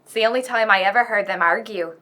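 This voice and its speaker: A woman's voice: medium pitch, fast talker, bright, forceful tone, close to an everyday voice. A woman playing an anxious, deferential housemaid who speaks confidingly in a radio play.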